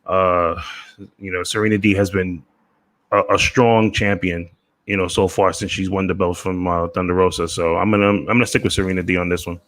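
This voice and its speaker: A podcast guest, a man, speaks in a very low voice. His delivery stays flat and steady with little rise or fall, and he keeps dropping in casual fillers. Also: a little fast. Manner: steady, uses "you know" often. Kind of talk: casual chat.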